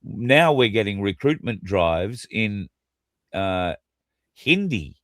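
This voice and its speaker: A man's voice: on the low side, big pitch swings, slow, fairly bright tone, no room sound, clean studio sound. An Australian man co-hosting a casual panel chat, blunt and joking, with fillers along the way. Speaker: show co-host.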